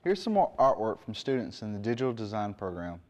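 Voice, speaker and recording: A man's voice: on the low side, big pitch swings, fairly quick, music in the background. A man hosting a show, presenting scripted links to the viewer. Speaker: TV show host.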